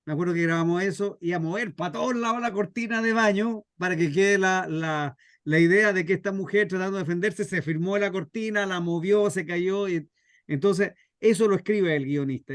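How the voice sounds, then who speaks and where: A man's voice: fairly high pitch, no room sound, clean studio sound. A man with a Chilean accent explaining things informally, as the teacher of a workshop. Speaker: scriptwriter leading a radio drama workshop.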